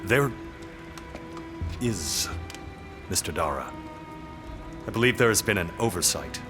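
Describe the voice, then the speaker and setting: An actor playing a guarded, curt young manor lord, speaking in a measured, formal, terse way in an audio drama. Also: male voice, low-pitched, animated, music in the background.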